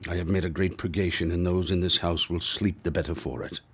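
A man acting in a radio drama as a grave, resolute older doctor and family friend, with a formal, deliberate delivery. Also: low voice, fairly even intonation, fairly bright tone, a little hiss or hum. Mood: grave, weary. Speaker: actor, also narrating.

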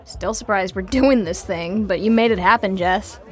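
A woman's voice: mid-range voice, moderate pace, natural voice. A woman playing an anxious, earnest young musician with casual delivery in an audio drama. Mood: teasing, pleased. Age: young adult.

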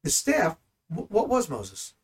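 A man in ministry teaching the Bible in conversation, animated and full of rhetorical questions. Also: fairly high pitch, slow pace, breathy edge. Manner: animated, asks rhetorical questions, acts out dialogue.

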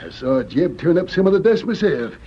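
An actor playing a friendly older frontier husband and prospector with folksy, drawling rustic speech in an old-time radio drama. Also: male voice, a little high, very animated, a little fast, mellow, slightly rough. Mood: proud, pleased. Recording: muffled, noisy old radio recording.

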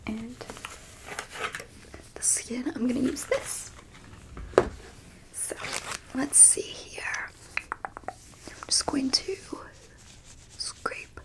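A woman playing a coolly clinical, smug and curious alien abductor in an ASMR roleplay, in a soft breathy whisper with a coyly condescending manner. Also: high-pitched, very slow, pauses often, exaggerated voice, poor audio. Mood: clinical, focused.

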